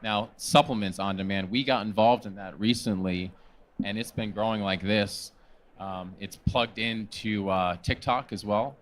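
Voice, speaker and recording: A man with a fairly low voice speaks on a trade-show panel. He explains an idea with energetic, salesy enthusiasm. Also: unhurried. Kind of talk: panel discussion.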